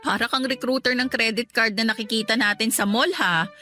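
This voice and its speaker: A woman's voice: a little high, a little fast, pushed and bright, soft background music. Hesitant, teasing working woman. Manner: conversational, teasing, unsure. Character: hesitant working woman, playful but worried about spending. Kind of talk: radio drama dialogue.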